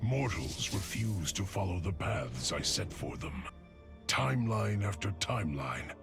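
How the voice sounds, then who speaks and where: A world-weary immortal narrates a game epilogue in a deep voice. He is grave and brooding, measuring his words at a slow pace. Music plays clearly beneath him. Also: exaggerated voice. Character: weary, solemn immortal lord of time longing for rest. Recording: loud music behind the voice.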